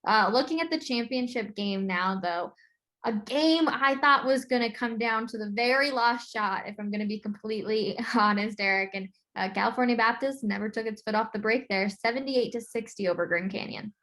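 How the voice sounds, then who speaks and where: A woman's voice: fairly high pitch, moderate pace, fairly bright tone. A woman with an American accent discussing games casually as co-host of a sports podcast.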